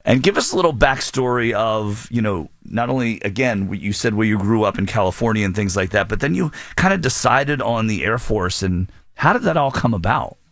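A male radio host with a lowish voice leads the interview with polite, prompting questions. The recording is dry and close, without room echo. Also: animated, fairly quick, hardly any pauses, a bit bright.

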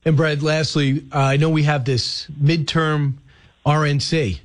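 A man hosting a talk radio show, chatting casually about politics and firing off questions with quick asides. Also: on the high side, flat delivery.

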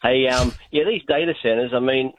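A man's voice: medium pitch, fairly quick, a little hiss or hum. A man from Australia with a Queensland accent, calling in to a radio show in a laid-back, chuckling way and repeating his points. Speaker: caller. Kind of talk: phone-in chat. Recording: tinny phone line.

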